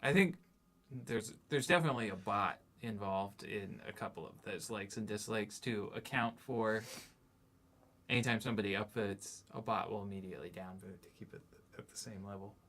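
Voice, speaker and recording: A man's voice: fairly low pitch, moderate pace, fairly bright tone. A man chatting casually while playing a board game on a stream, thinking aloud and explaining things pedantically. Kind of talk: casual game commentary chat.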